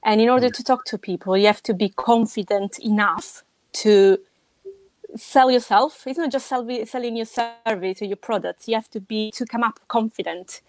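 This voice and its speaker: A woman from Italy with a strong Italian accent, telling her story as a podcast guest in a lively, emphatic way. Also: a little high, moderate pace, dry recording, low-quality recording. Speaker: podcast guest, web designer. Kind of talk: casual interview talk.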